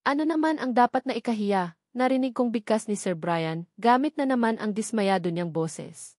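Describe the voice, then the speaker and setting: Casting: Filipino woman for first-person romance audiobook, medium pitch, dry recording. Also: darkish tone, dry recording. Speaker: audiobook narrator. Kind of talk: scripted first-person romance novel narration.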